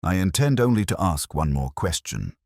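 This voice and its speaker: Unhurried, dry-witted police inspector for a mystery tale, voiced by a man; rumbling low pitch, polite, close dry sound. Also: sing-song, no echo, full character voice. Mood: quietly probing. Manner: gentle, measured, merely courteous. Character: courteous, unhurried male police inspector, dryly ironic.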